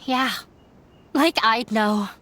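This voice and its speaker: Game role: weary young daughter, high voice, unhurried delivery, resigned and self-blaming. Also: female voice, fairly bright tone, a little hiss or hum. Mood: sad, bitter. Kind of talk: English video game voice acting.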